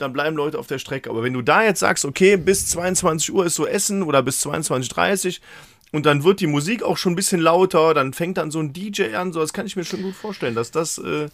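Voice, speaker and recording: A man in his thirties co-hosting a podcast, chatting in a relaxed, hesitant way with frequent restarts. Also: fairly high pitch. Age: 30s. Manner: relaxed and hesitant, with restarts and short approving interjections. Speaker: podcast co-host. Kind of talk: casual chat.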